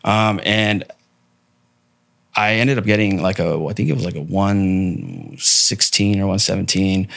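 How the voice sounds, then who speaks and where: A man's voice: sits low, sing-song, unhurried, gravelly, a bit bright. A man in his thirties, a guest on a podcast, talking candidly and plainly about his life, with the odd curse word. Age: 30s. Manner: candid, plainspoken, casual cursing. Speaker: podcast guest, Army veteran. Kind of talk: casual conversation.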